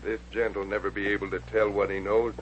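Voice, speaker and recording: A man's voice: fairly low pitch, little pitch movement, quick pace, slightly rough. An actor playing a cold-blooded, talkative outlaw henchman in a 1950s radio western. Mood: callous. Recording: dull old radio recording.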